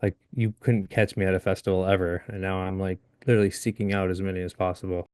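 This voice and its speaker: A man in his late thirties, a guest in a casual interview, talking in a halting way with frequent restarts and easy laughs. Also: deep voice, level tone, a little mellow, clear, resonant tone, no room sound, clean studio sound. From Upstate New York. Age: 30s.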